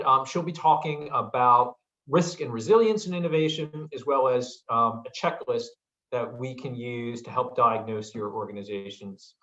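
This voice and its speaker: A man's voice: mid-range voice, fairly bright tone, lo-fi sound. American man leading a video call workshop. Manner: hesitant with frequent ums, asks the audience questions. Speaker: workshop presenter. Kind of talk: online workshop talk.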